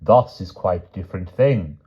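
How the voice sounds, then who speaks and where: A man's voice: sits low, lively intonation, normal speed, a little mellow, breathy edge, highs cut off. A man voicing a pompous foreign knight tutoring children, speaking in broken, stilted formal English. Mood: haughty.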